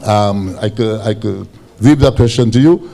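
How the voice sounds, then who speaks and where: A man with a Grenadian accent and a big voice, asking questions at a town hall in a halting, comradely way. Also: fairly low pitch, gravelly, warm tone. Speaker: audience questioner.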